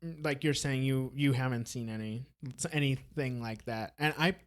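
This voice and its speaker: A Brazilian-born man with an American accent hosting a casual podcast interview, relaxed and often restarting phrases. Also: medium pitch, normal speed. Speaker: podcast host.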